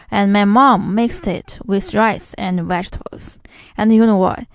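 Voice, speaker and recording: A woman's voice: medium pitch, no room sound, clean studio sound. A young woman from China, a native Chinese speaker, hosting a podcast and reading her scripted narration in earnest. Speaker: podcast host.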